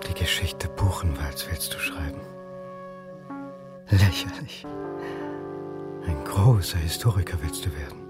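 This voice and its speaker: An actor playing a dry, terse, self-deprecating history lecturer of about forty in a radio drama. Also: male voice, sits low, measured pace, long pauses, loud music behind the voice. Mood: self-loathing.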